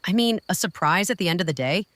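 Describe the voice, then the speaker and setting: A gracious older woman, a retired lawyer and grandmother. Her voice sits a little low and measured. It is recorded close and dry in an audio drama. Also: animated, fairly bright tone, no room sound. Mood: calm.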